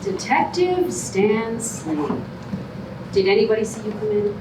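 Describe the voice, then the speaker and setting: A woman's voice: medium pitch, sing-song, unhurried. A woman playing an earnest, pleading contestant with careful, formal phrasing in a staged comedy reading. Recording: roomy, distant, noisy live stage recording.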